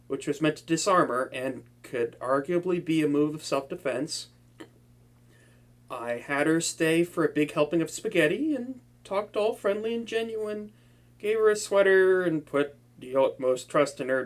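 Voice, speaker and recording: A man's voice: a little high, close to an everyday voice. A man playing a flustered, boastful young male supervillain who stammers and swings between moods. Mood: remorseful. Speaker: voice actor. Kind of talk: hobby audio roleplay to a listener.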